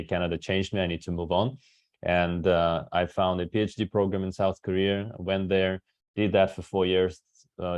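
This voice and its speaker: Talkative thirties male recruiter, first language Russian, deep voice, dry sound. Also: a little mellow, dry recording. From Kazakhstan. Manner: talkative, tags many sentences with "right?". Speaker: guest speaker, recruiter. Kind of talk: webinar talk.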